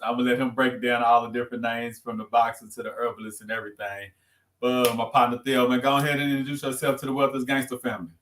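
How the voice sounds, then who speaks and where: A man hosting a podcast, asking loose, halting questions full of street slang. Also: medium pitch, a bit bright, reverberant. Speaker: podcast host. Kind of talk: casual interview talk.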